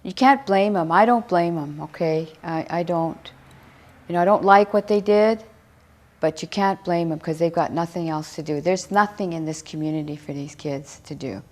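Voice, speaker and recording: A woman's voice: on the low side, moderate pace, darkish tone. A woman speaking emphatically and earnestly in a news interview clip as the director of a community ministry.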